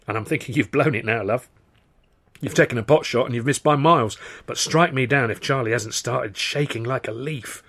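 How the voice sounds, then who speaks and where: A man voicing an excitable working-class storyteller spinning a yarn, with a British accent and a colloquial, dramatic delivery. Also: medium pitch, moderate pace. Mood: astonished.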